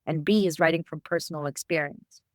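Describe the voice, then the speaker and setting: A woman from Israel interviewing a podcast host, speaking in a thoughtful, measured way. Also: low-pitched, no room sound, studio quality. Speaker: guest interviewer. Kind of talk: conversational interview.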